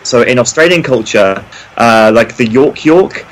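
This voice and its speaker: A man speaking as an interview guest, explaining things in an animated, emphatic, conversational way. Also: medium pitch, measured pace, low-quality recording. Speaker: podcast guest, folklorist.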